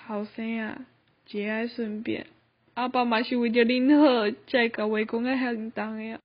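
A woman's voice: on the high side, flat delivery, moderate pace, close to an everyday voice. A teenage girl playing a mild, consoling older father in an amateur radio drama. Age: teens. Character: consoling old father giving mild advice. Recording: muffled lo-fi recording.

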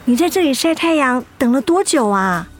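A woman's voice: sits high, animated, normal speed. An actress playing a helpful, concerned young woman passing by in a radio drama, inquisitive and obliging in manner. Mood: concerned, surprised. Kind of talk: Mandarin radio drama acting.

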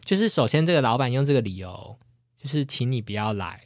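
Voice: mid-range voice, animated, fast talker, dry recording, studio quality. 一位帶台灣腔國語的播客主持人，用輕鬆直率、帶點打趣的口吻閒聊並給意見。. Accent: Taiwanese Mandarin. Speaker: podcast co-host. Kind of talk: casual, opinionated chat.

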